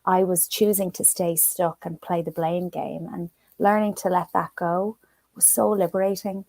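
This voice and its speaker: An Irish woman in middle age speaks as an interview guest, sharing her story with bubbly animation. Her voice sits on the lower side and comes across dry and close, with no room around it. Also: level tone, normal speed, gravelly, dark tone, no echo. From Ireland. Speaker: guest, personal development coach. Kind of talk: conversational interview.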